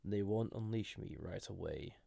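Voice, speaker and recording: Man voicing a deep, gravelly ancient villain. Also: dry recording. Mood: measured, resigned. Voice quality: gravelly, husky, breathy. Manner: hesitant, softly intimate, deliberate. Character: banished ancient villain entity, flirty, wistful, secretly dangerous. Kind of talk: scripted audio roleplay addressed to listener.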